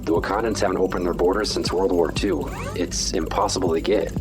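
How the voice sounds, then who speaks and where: A man's voice: deep voice, fairly even intonation, brisk, natural voice. A man playing a brash, sarcastic armored superhero in an audio drama, with a blunt way of talking. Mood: incredulous. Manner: blunt, mocking. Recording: music behind the voice.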